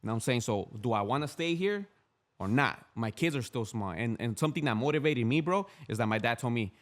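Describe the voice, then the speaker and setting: A man's voice: medium pitch, expressive, brisk. A man in his thirties, a podcast guest, talking candidly in a casual chat, rambling with many restarts and slang. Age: 30s. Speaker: podcast guest, street activist.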